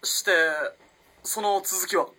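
A man's voice: sits high, fairly even intonation, slow pace, fairly bright tone, husky, heavily acted. A man playing a curious, polite young student in a radio drama. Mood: musing, eager. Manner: polite, inquisitive.

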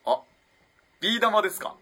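A man's voice: high-pitched, steady tone, moderate pace, gravelly, sharp tone, husky, exaggerated voice. An actor playing a polite, earnest, easily flustered young male student in a radio drama. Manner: polite, eager, hesitant. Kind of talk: Japanese radio drama acting.

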